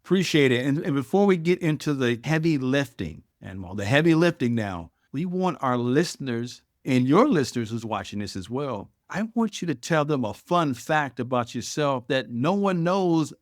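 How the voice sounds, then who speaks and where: Guy hosting a podcast, medium pitch, measured and emphatic, really dry sound. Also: expressive, no room sound. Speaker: podcast host. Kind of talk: podcast introduction and interviewing.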